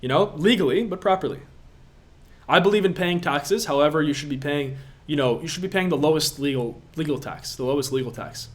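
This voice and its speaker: A young man from Latvia hosts a live question-and-answer stream as a business coach. His voice sits a touch high for a man. He talks in an emphatic, pushy way and hammers points home by repeating them. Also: brisk.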